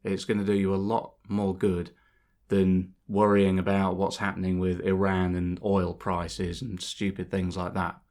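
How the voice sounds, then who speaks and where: A man's voice: deep voice, monotone, measured pace, vocal fry, a little mellow. A young man talking over gameplay in a rambling, reflective way, a bit bunged up and sore-throated from a cold, with many fillers and restarts. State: congested from a cold. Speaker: channel host. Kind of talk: rambling casual monologue. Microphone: voice-over over gameplay footage.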